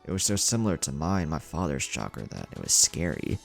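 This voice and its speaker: A man's voice: very deep voice, very animated, a little fast, gravelly, faint music behind, exaggerated voice. A man voicing an easygoing, curious young male ninja in a story, with a casual, musing manner. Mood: uneasy. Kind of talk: fan fiction story reading.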